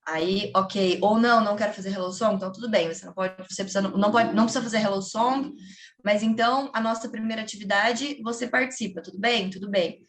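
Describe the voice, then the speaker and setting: A woman who teaches English, talking casually to fellow teachers in a training session, chatty and animated, acting out classroom chants. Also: mid-range voice, fast talker. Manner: chatty, lively, quotes children and classroom chants. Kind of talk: casual explanatory talk to colleagues.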